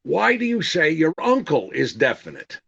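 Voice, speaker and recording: Radio comedy straight man: gravelly, sharp-edged, patient, slightly dull recording. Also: fairly high pitch, wildly swooping pitch, moderate pace, exaggerated voice. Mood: dryly patient. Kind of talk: 1930s radio comedy acting.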